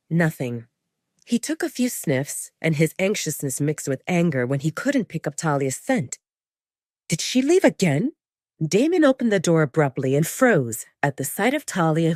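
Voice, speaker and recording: A woman's voice: sits low, darkish tone, no echo, clean studio sound. A woman narrating a scripted romantic fantasy audio drama as storyteller.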